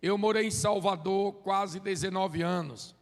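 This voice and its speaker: Man, 40s, high-pitched and playful in the pulpit, heavy hall reverb. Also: steady tone, unhurried, creaky. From Sergipe, Brazil. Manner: joking, chatty, drops "amém?" tags. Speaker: visiting preacher. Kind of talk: informal sermon with crowd call-and-response. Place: church sanctuary.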